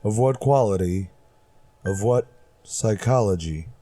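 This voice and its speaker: An actor playing a grave, dramatic announcer and narrator of retro sci-fi radio, solemn and portentous. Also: male voice, on the low side, expressive, a little slow, dark tone, slight background noise.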